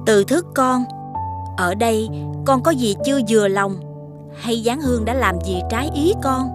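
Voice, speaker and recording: Woman playing a dignified, higher-voiced fairy mother. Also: moderate pace, music in the background, close to an everyday voice. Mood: stern concern. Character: dignified fairy mother, authoritative elder immortal. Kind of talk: animated fairy-tale acting.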